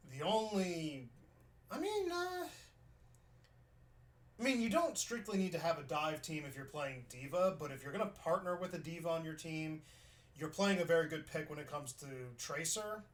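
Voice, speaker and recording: A young man from New York talks through a gameplay review in a loose, conversational way. His voice sits a little high for a man. He thinks out loud and often circles back to restart a thought. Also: lively intonation, moderate pace. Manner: chatty, thinks aloud with restarts. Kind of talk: casual gameplay review commentary.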